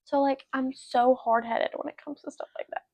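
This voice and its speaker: A woman's voice: sits high, fairly even intonation, moderate pace, noisy background. An eighteen-year-old woman chatting informally as a podcast co-host, often dropping "like" into her sentences. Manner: informal, heavy use of "like". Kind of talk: casual podcast chat.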